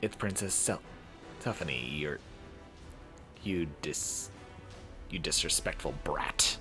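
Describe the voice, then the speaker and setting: A man's voice: low-pitched, slow pace, pushed and bright, soft background music, heavily acted. A man voicing a proud female royal servant in a visual novel, formal and scolding. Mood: indignant, scolding. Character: proud, indignant female royal servant defending her princess.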